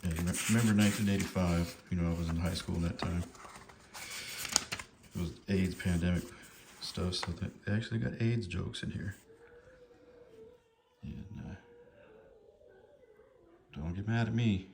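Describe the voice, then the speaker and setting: A man's voice: low-pitched, steady tone, normal speed, vocal fry, pauses often. A man in his fifties chatting casually to viewers in a rambling, hesitant, amused and apologetic way. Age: 50s. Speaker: vlogger showing books from a collection. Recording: noticeable background noise.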